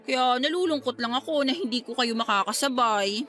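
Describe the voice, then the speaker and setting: A woman's voice: on the high side, a little fast, fairly bright tone, close to an everyday voice. A woman playing a young working woman, a wistful but playful best friend, in an acted radio love story.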